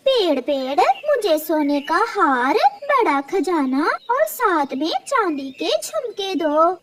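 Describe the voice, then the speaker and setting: A woman puts on a scheming female crow for a children's cartoon. The voice is extremely high. The crow is greedy and spiteful. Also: sing-song, takes it slowly, fairly bright tone, full character voice. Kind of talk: Hindi moral-story cartoon dubbing.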